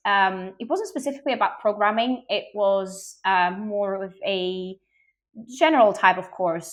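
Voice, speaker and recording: A woman's voice: medium pitch, fairly bright tone. A young woman, born in Bulgaria and raised in Greece, answering interview questions as a game industry recruiter in an open, enthusiastic way with frequent ums.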